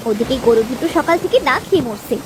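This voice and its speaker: A woman's voice: high voice, animated, fast. A woman playing a worried village wife and mother in a cartoon, fretful but caring. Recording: noisy track with ambience behind.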